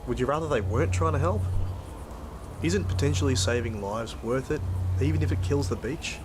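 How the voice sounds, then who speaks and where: An actor with an Australian accent playing a weary, wry, sweary company worker in an audio drama, with a dry, laconic delivery. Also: male voice, medium pitch, moderate pace, noisy background, lightly acted. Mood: exasperated, pleading. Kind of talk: first-person audio drama narration and dialogue.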